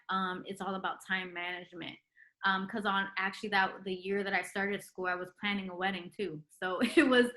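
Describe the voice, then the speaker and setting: A woman's voice: mid-range voice, pushed and bright. A young woman, a retired nurse, chatting as an interview guest with lots of ums and likes. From Puerto Rican heritage. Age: young adult. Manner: chatty, many ums and likes, laughs often. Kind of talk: casual interview chat.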